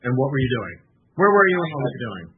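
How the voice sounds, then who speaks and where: A man's voice: mid-range voice, sing-song, very fast. A man hosting an interview, fighting a cold and sounding a little nasal, asking questions in a joking, inquisitive way. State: has a cold, slightly nasal. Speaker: host. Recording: tinny phone line with faint noise.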